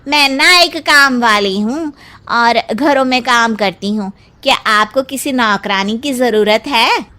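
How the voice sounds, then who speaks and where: A woman voicing a wistful, flattering housemaid in a cartoon story, gushing and plaintive. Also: a little high, slow pace. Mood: curious. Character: wistful, flattering housemaid who longs to be beautiful. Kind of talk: cartoon family story acting.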